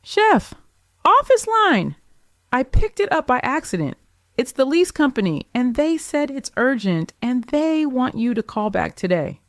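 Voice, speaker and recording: Woman playing a high, animated restaurant coworker. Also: a little slow, no room sound, clean studio sound, heavily acted. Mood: urgent. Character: concerned female restaurant coworker.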